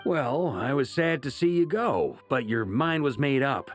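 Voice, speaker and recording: Man playing jovial, animated middle-aged knight commander. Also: medium pitch, measured pace, soft background music, lightly acted. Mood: reflective, easygoing. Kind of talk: anime game voice acting.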